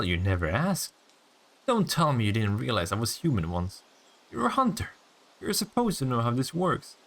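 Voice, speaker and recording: Man playing a smug, teasing vampire, swooping intonation. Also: mid-range voice. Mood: mocking. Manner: sardonic, teasing, swearing, drawn-out taunts. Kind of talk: intimate audio roleplay acting.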